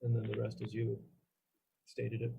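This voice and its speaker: Gravelly, even-toned man chairing a meeting. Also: fairly low pitch, low-quality recording. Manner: halting, procedural. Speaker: commission chairman. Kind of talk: formal meeting procedure. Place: remote meeting call.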